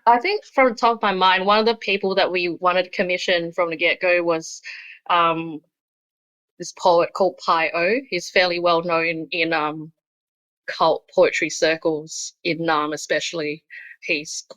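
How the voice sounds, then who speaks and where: Low-voiced woman, even and dry. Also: unhurried, no room sound, low-quality recording. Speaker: magazine editor being interviewed. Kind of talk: casual interview talk.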